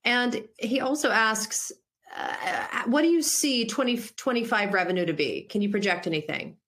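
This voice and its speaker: A woman's voice: fairly high pitch, moderate pace, a bit bright. A woman moderating a conference, politely reading out audience questions to a company executive.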